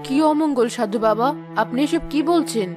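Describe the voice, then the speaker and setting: A woman acts an anxious young queen in a fairy tale cartoon. Her voice is gentle and somewhat high. Music is clearly heard behind her. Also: normal speed, lightly acted. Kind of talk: fairy tale animation voice acting.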